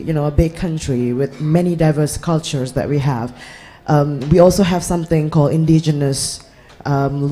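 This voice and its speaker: A woman's voice: low voice, mellow. A woman from Indonesia asking a panel a question from the floor, earnest and searching for words. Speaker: audience member asking a question.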